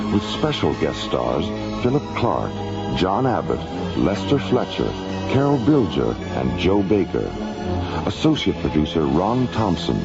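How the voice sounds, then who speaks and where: Male announcer for radio serial credits; gravelly, scripted delivery, muffled broadcast sound. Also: deep voice.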